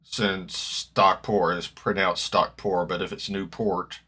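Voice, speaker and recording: Guy streaming a footy game, bit gravelly, bright edgy tone, lowish voice. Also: little pitch movement, slow. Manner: rambling, self-correcting, hopeful fan. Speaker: streamer reacting to a football match. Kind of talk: casual live-stream chat.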